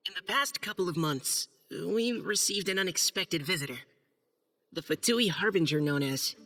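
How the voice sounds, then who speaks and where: A man's voice: high voice, sharp tone, heavily acted. A man voicing a timid, earnest young knight in a video game, formal and hesitant as he reads aloud. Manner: hesitant, nervous, stammering, formal. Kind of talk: video game acting, reading a letter aloud. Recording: faint music behind.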